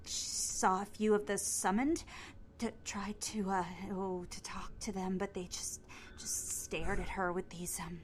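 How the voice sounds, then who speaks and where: Slow, stammering, frightened young cultist. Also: female voice, lowish voice, a little hiss or hum. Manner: stammering, hesitant, trailing into whispers. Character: frightened, confused young female cult recruit. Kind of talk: video game dialogue acting.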